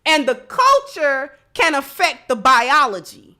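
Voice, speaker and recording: A woman's voice: high voice, sing-song, takes it slowly, pushed and bright. A woman giving opinionated live commentary as a host, emphatic, repeating phrases and posing rhetorical questions. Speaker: livestream host.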